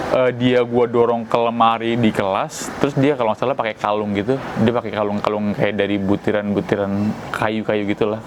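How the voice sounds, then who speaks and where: A man's voice: mid-range voice, background noise. A man from Tegal, giving a casual, rambling opinion monologue as a vlogger and repeating his own phrases. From Tegal, Central Java.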